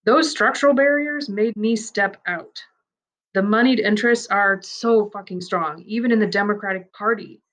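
A woman narrating a man's first-person story aloud, in a measured, reflective and earnest way. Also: mid-range voice, a bit bright.

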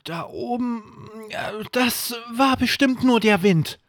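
A man's voice: extremely high pitch, slow, a bit bright, dry recording, clean studio sound, pitched above the performer's own voice, heavily acted. A man voicing a scared but stubborn seven-year-old boy in a children's bedtime story. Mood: nervous.

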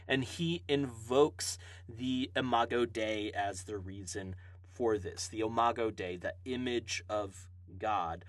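A man's voice: mid-range voice, no room sound. A man from Hawaii hosting a podcast, answering listener Bible questions in an earnest, explaining way with some fillers.

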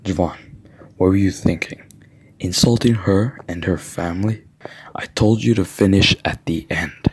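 A man's voice: low voice, measured pace, breathy edge, full character voice, low-quality recording. A man playing an earnest, stern but caring basketball coach in an audio drama, speaking in solemn motivational speeches. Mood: grave, disappointed. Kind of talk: student audio drama acting.

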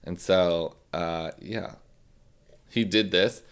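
A man's voice: deep voice, very slow, clear, resonant tone. A man co-hosting a casual true-crime podcast, joking and teasing, with a slight lisp. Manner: joking, teasing, swears freely. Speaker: podcast co-host.

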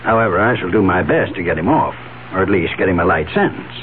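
An actor playing a formal, fussy, disapproving professional man in a 1970s radio drama, with measured phrasing. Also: low voice, lively intonation. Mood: reserved, disapproving. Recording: tinny, noisy old radio broadcast.

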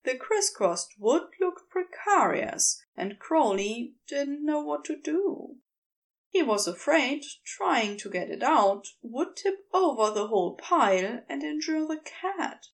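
A woman's voice: high voice, big pitch swings, slow, creaky. A woman reading a scripted piece of fiction as its narrator.

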